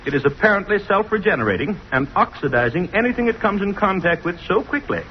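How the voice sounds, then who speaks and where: An actor playing an anxious, excitable professor in an old-time radio drama, speaking formally and urgently on a muffled old recording. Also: male voice, sits high, fast, a little hiss or hum. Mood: grave, urgent.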